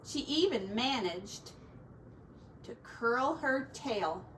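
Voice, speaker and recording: A high-pitched woman reads a picture book aloud for young children, taking her time over the words. She draws out descriptions dramatically and drops in playful asides. The microphone sounds a little distant. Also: animated, extremely slow, fairly bright tone, a little hiss or hum. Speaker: teacher reading a storybook to children.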